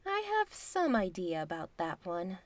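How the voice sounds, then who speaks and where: A woman playing a composed, knowing divine being in an audio drama, with frank, measured and formal phrasing. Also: on the low side, huge pitch swings, dry recording. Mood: matter-of-fact.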